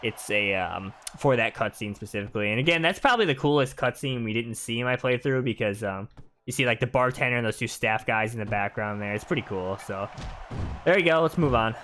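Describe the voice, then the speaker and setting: A man's voice: medium pitch, fairly quick, fairly bright tone. Casual man commentating with noise behind. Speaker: video game streamer, narrator of playthrough. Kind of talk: casual commentary over gameplay cutscenes.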